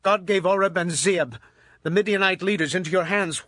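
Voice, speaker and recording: A man playing a stern, battle-weary Israelite war leader in a dramatized audio Bible, with forceful, declamatory delivery. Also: high voice, normal speed, no echo, clean studio sound. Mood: placating.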